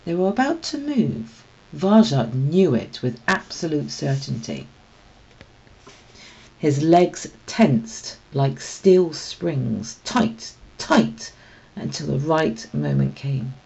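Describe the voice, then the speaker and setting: A woman reading a children's story aloud as expressive scripted narration. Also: deep voice, takes it slowly, gravelly, muffled recording. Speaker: storybook reader.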